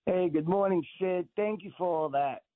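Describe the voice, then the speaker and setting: Male guest on a phone line, Israeli-born, insistent and earnest, slightly high voice, dry and tinny. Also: normal speed, no room sound. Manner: earnest, insistent, repeats words when pressing a point. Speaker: guest, actor and director. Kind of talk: phone-in radio interview.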